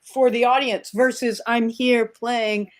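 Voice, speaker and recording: Higher-pitched woman interviewing conversationally. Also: fairly even intonation, slow. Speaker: guest interviewer, music teacher.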